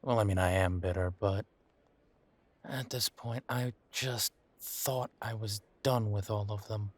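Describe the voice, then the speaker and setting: A man playing a bitter, wry young werewolf in an audio roleplay, talking haltingly and sardonically as if in intimate one-sided conversation. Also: on the low side, fairly even intonation. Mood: rueful, bitter. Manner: halting, sardonic, conversational with sighs and repeated words.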